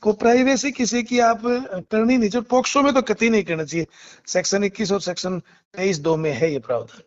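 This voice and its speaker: Male lawyer, high-pitched, halting and polite explainer, lo-fi phone recording. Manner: halting, repeats phrases, polite frequent acknowledgements. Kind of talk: phone interview, explaining a case. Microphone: phone call. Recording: muffled, lo-fi phone call recording.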